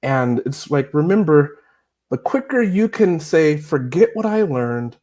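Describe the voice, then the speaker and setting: Animated man telling a story on a call. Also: fairly high pitch, unhurried. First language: not Chinese. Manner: lively, self-mocking, acts out scenes. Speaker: Chinese teacher, guest. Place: small room indoors. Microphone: earphone mic on video call.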